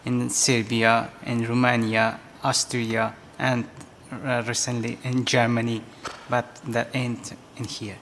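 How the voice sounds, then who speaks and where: A man from Afghanistan with an Afghan accent, answering from a studio audience in halting non-native English. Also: mid-range voice, completely flat delivery, fairly bright tone. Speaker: audience member answering questions. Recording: reverberant studio hall, faint background noise.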